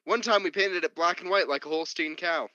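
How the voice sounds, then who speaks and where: A man's voice: on the high side, a little fast, pushed and bright, dry recording. An actor playing a homesick, chatty American crewman in a science fiction radio play, with a folksy, casual delivery. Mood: nostalgic. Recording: dull, lo-fi dry recording.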